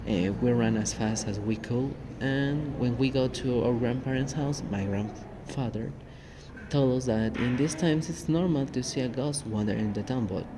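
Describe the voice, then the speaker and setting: A young Mexican man speaks English with a Spanish accent and a middling pitch, filling his sentences with halting "uh" pauses as he tells a tale. The recording is thick with steady background noise. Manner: halting, many "uh" fillers. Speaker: podcast co-host. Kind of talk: casual storytelling chat.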